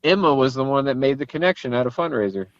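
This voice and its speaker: A man's voice: mid-range voice, lively intonation, fast, no echo, lo-fi sound. A man chatting casually as an interview guest.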